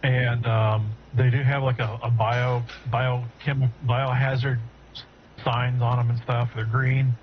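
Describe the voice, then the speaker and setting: A man narrating a tabletop game as game master, halting, with many fillers and restarts. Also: medium pitch, little pitch movement, a little hiss or hum. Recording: dull lo-fi audio.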